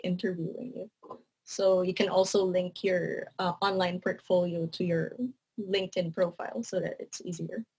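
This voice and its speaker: A woman's voice: fairly low pitch, creaky, slightly rough, rough recording. A young adult woman with a Filipino English accent, talking about her writing career in an interview. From Philippines. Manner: relaxed, earnest, says "you know" a lot. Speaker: guest, writer and content editor. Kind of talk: casual interview answers.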